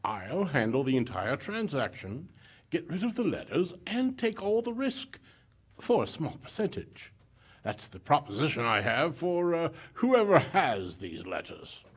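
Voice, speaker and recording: A man's voice: fairly high pitch, sing-song, a little slow, breathy edge, exaggerated voice. Male actor as courteous underworld boss, scheming, oily, hesitant ums, very varied intonation, vintage radio drama. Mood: scheming, ingratiating. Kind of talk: 1940s-style radio theater acting.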